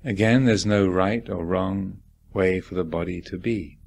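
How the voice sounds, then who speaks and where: Low-voiced man guiding meditation, very unhurried. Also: creaky. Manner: gentle reflective questioning, deliberate phrasing with long pauses. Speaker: meditation teacher. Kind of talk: guided meditation instruction.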